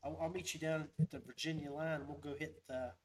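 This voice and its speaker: A man's voice: fairly high pitch, steady tone, fairly quick, slight background noise. A man hosting a podcast, chatting casually and telling stories in a folksy, rambling way. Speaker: podcast host. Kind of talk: casual fishing podcast chat.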